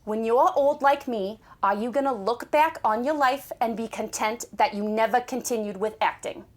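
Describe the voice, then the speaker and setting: A woman's voice: a little high, moderate pace, natural voice. An actress playing a doting, starstruck older mother in an audio drama, nagging and insistent with a dramatic streak. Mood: nagging, encouraging.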